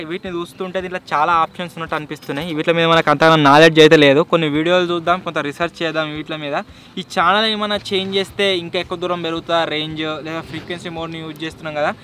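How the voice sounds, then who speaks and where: A man's voice: fairly high pitch, bright, forceful tone, slight background noise. A young man with a Telangana accent presenting a casual vlog in an informal, slangy way. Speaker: video host.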